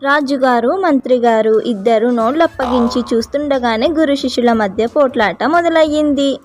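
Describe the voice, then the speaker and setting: High-pitched woman telling a children's story. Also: normal speed, a little mellow, faint music behind. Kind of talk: scripted children's story narration.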